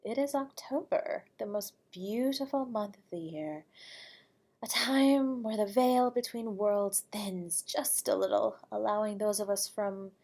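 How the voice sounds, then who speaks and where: A woman playing an eerie, nocturnal horror radio host from beyond the grave, speaking to the listener in a soft, breathy, whispery voice, courteous and teasing, with frequent chuckles. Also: mid-range voice, a little slow. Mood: theatrical. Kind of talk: audio roleplay addressing the listener.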